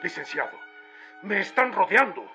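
An actor playing an indignant Mexican revolutionary general in a radio drama, heard through a telephone effect. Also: male voice, high voice, sing-song, moderate pace, over music. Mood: alarmed, indignant. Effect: telephone filter. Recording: dull, band-limited telephone effect.

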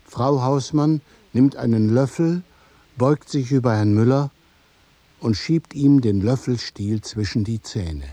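A man narrating a scripted literary radio play in a deliberate, measured storytelling manner. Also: mid-range voice, mellow, dry recording.

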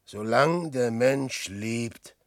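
An actor playing a despairing, boastful old man facing death, declaiming verse in a brooding, theatrical radio drama style with a gravelly, husky voice. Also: medium pitch, animated, extremely slow, no echo, clean studio sound. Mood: wry, uneasy. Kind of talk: 1960s German radio drama verse acting.

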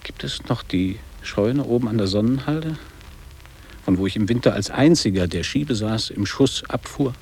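An actor playing a guarded, wistful middle-aged man looking back on boarding school in a measured, literary radio play delivery. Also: fairly low pitch, lively intonation, darkish tone, slight background noise. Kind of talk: German radio play acting.

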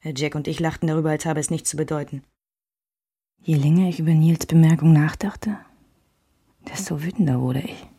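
A woman's voice: deep voice, steady tone, normal speed, long pauses, mellow, breathy edge, no room sound, studio quality. An actress playing a wistful, candid woman recalling a love triangle in intimate, reflective first-person radio drama narration. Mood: hurt, reflective. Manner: reflective, alternates German with English phrases.

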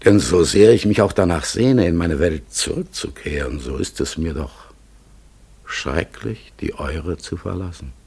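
An actor playing a gentle, melancholy talking raven in a radio play, halting and solemn. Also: male voice, sits low, exaggerated voice. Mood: longing, pensive. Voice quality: husky, gravelly, breathy. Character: melancholy talking raven exiled among humans, searching, gentle. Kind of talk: German radio play acting.

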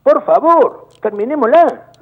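An Argentine man, a business chamber director, speaking indignantly on a phone call and repeating words in rapid bursts. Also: extremely high pitch, lively intonation. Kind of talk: heated phone-in interview. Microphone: telephone. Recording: tinny phone line.

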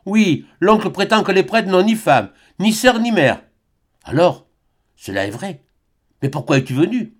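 Young woman, pleading and wounded; male narrator pitches up for her. High and animated. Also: a little slow. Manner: high, imploring, emotional. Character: young woman, pleading, then bitter and scornful.

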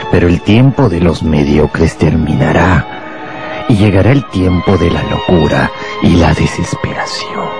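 An actor playing a contemptuous, power-hungry anarchist professor in a radio drama, with a grandiose, deliberate delivery. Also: male voice, extremely low pitch, full character voice. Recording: music behind the voice.

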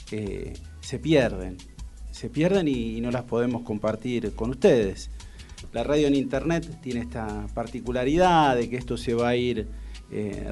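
A man with an Argentine accent hosting an informal radio interview, halting and digressive as he talks. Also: medium pitch, a little mellow. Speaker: radio host. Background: faint music.

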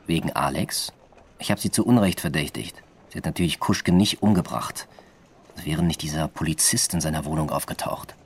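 A man's voice: rumbling low, moderate pace, husky, exaggerated voice. A man acting a sober police detective in a radio drama, with dry, matter-of-fact, clipped delivery. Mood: apologetic. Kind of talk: German radio drama acting, naturalistic dialogue.

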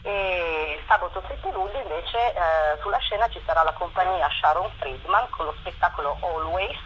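A woman's voice: on the low side, moderate pace, fairly bright tone, a little hiss or hum. A woman explaining a programme in a radio interview, wordy and full of filler phrases, over a phone line. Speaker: festival representative, interview guest. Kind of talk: promotional radio interview, running through a programme.

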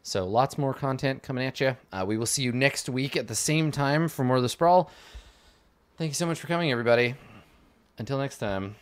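A man's voice: mid-range voice, a little fast, clean tone. A man hosting a live tabletop game stream, chatting casually and upbeat with plenty of filler words. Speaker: host.

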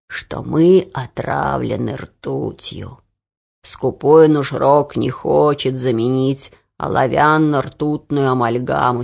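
A woman voicing a stooped, wrinkled old male laborer who speaks quietly and wearily. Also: extremely low pitch, gravelly, breathy edge, pitched below the performer's own voice, heavily acted. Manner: quiet, weary, hushed warnings. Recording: dull lo-fi recording.

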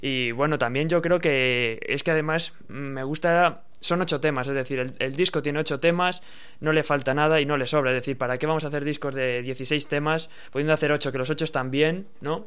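A man's voice: medium pitch, fairly even intonation, a little fast, hardly any pauses, a bit bright, clean tone. A male radio host interviewing a band, chatty and enthusiastic about the music.

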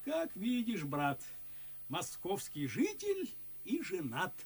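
A man's voice: high voice, huge pitch swings, slow pace, husky, full character voice. A man playing a resigned, sighing, henpecked husband, a retired officer, in a classical radio play. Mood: hearty. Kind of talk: classical verse radio drama acting.

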